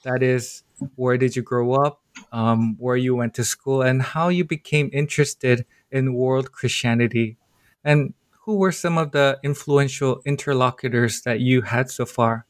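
A man hosts a podcast interview and reads out a formal, measured introduction of his guests. His voice sits at an ordinary middle pitch. The sound is dry and close, with no room around it. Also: fairly even intonation, dry recording. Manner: measured, formal delivery with occasional slips. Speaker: podcast host.

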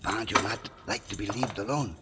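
A man playing an excitable, loyal Mexican sidekick in an old radio drama, speaking broken English and calling himself by name. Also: lowish voice, moderate pace, natural voice. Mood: eager. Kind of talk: 1950s radio drama acting. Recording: old radio recording with crowd noise.

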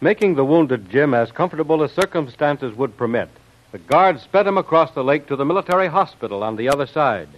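A man's voice: a little high, expressive, brisk, faint music behind. A man announcing and narrating a 1930s radio serial in a dramatic, emphatic scripted style. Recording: dull old lo-fi radio recording.

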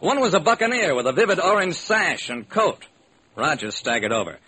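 A man's voice: fairly high pitch, very animated, fairly quick, sharp tone, husky, exaggerated voice. An actor playing a wry private detective who narrates his case in theatrical rhyme, in old-time radio style. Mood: brisk storytelling. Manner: theatrical, sing-song rhyming delivery. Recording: noisy vintage radio recording.

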